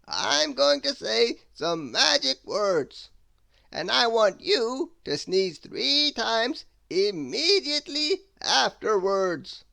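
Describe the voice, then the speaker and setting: A reader voicing a wise old male wizard in a children's story, with grand, theatrical, drawn-out exclamations. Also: medium pitch, very animated, gravelly, sharp tone, no echo, pitched above the performer's own voice, exaggerated voice. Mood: commanding. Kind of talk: storybook read-aloud character voice.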